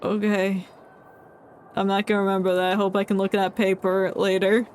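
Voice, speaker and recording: A woman's voice: mid-range voice, flat delivery, a little fast, darkish tone, a little hiss or hum. A woman streaming a game, playfully commenting and reading the on-screen text aloud in a casual way.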